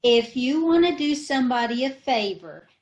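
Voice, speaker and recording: A woman's voice: sits high, lively intonation. A woman playing a dotty, sweet-talking wife in an amateur old-time radio play. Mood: sweetly dotty. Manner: slow, dotty, syrupy sweet.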